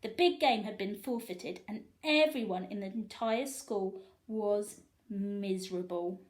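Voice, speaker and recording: A woman reading a storybook aloud to young children in an expressive storyteller style. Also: mid-range voice, a little slow.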